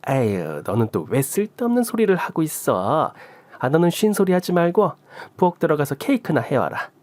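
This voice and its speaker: A man's voice: fairly high pitch, very animated, normal speed, darkish tone, no echo. A man voicing an affectionate, bossy elderly mother-in-law who scolds fondly, in a radio story. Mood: fond scolding. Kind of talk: Korean radio story acting.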